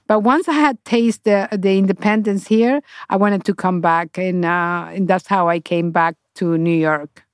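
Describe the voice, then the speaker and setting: A middle-aged woman from Honduras with a Honduran accent and native Spanish, speaking haltingly as an interview guest telling her own story. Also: on the low side, steady tone, clear, resonant tone, no echo, studio quality. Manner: halting, searching for words. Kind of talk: conversational personal storytelling.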